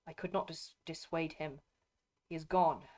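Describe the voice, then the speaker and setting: A woman's voice: low-pitched, steady tone, measured pace, a little mellow. A woman reading aloud, voicing a young noblewoman's sorrowful, restrained lines. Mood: subdued.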